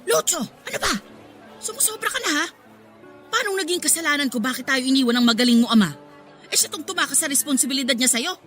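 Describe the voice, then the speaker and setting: A woman's voice: high voice, lively intonation, fast talker, fairly bright tone. A hard-working market vendor and mother in a Tagalog radio drama. She pleads and scolds in an exasperated, motherly way, with faint music underneath. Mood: hurt, angry.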